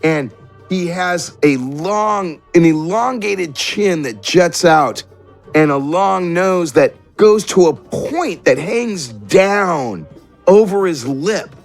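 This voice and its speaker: A man's voice: high-pitched, animated, takes it slowly, soft background music. A man running a tabletop roleplaying game as game master, improvising descriptive narration phrase by phrase. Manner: descriptive, piecing scenes together phrase by phrase.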